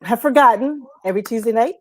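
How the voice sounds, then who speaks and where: A woman's voice: on the high side, quick pace, a little mellow. A woman hosting a casual music chat show in an informal, chatty manner. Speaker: show host.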